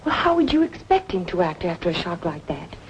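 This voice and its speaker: An actress playing a curious, politely guarded young niece in a mid-century drama, speaking with composure. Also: female voice, lowish voice, sing-song, fairly quick. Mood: earnest. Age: young adult. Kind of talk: mid-century American film drama acting. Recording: dull, noisy vintage soundtrack.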